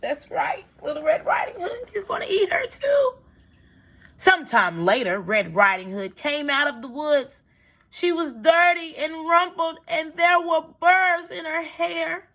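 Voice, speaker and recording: A woman reading a storybook aloud to young children as a teacher, pausing to explain things in simple phrases. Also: very high voice, sing-song, fairly bright tone. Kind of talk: expressive storybook read-aloud with asides.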